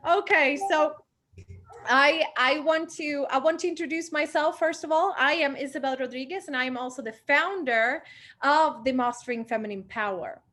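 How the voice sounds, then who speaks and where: A woman in her late twenties from Sweden, a confidence coach talking on a group video call, a little short of breath and pausing for air. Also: high-pitched, pushed and bright. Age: 20s.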